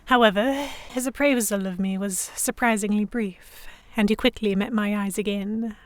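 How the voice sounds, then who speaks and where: A woman plays a young Victorian noblewoman telling her own story in an audio drama. She is genteel and expressive, anxious but defiant, at a medium pitch in a very dry recording. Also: normal speed, dry recording, lightly acted. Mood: embarrassed, prim. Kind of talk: first-person dramatic narration, historical romance audio drama.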